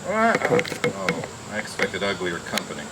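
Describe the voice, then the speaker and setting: An actor playing a world-weary, wisecracking private detective with a flippant, hard-boiled manner in an old-time radio drama. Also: male voice, sits low, wildly swooping pitch, moderate pace. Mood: groggy, sardonic. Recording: noisy, hissy radio play recording.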